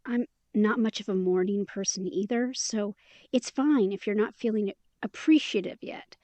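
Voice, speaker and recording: An actress playing a caring, candid young woman in an intimate audio drama, speaking in a casual, gently encouraging way. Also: mid-range voice, normal speed, dry recording, close to an everyday voice. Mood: gentle, caring.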